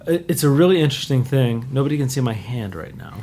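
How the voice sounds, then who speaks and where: A man's voice: mid-range voice, lively intonation, quick pace, mellow, slight background noise. Rambling thoughtful man in his thirties. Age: 30s. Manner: thoughtful, rambling, self-correcting. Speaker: guest drummer. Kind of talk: casual podcast conversation.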